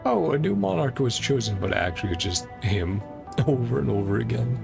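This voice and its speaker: A man's voice: medium pitch, animated, normal speed, creaky. A man casually commenting as he plays a video game, often reading along in musing fragments. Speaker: streamer playing a video game. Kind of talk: casual gameplay commentary, reading subtitles aloud. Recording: game music behind the voice. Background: game music.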